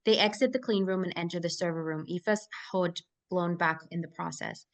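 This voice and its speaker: A woman reads out stage directions from a screenplay. Her voice sits a little low. The delivery is scripted narration, as at a live table read. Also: normal speed.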